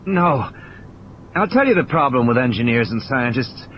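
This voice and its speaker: Man acting cynical, animated, preachy scientist. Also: medium pitch, normal speed, highs cut off, a little hiss or hum, natural voice. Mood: bitter ranting. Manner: emphatic philosophical ranting in insistent repetitions. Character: injured, cynical male scientist, irritable and preachy.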